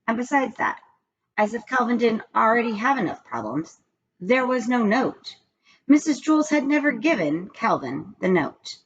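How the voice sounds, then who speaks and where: Woman, children's read-aloud, expressive storytelling, lively intonation, slightly noisy lo-fi audio. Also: fairly high pitch, normal speed. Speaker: storybook reader. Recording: dull lo-fi recording with background noise.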